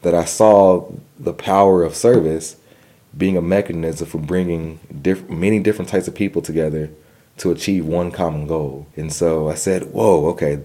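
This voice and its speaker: A man's voice: low-pitched, fairly even intonation, normal speed, warm tone. A young man telling his personal story in an interview, earnest, with a stutter and repeated words. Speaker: guest.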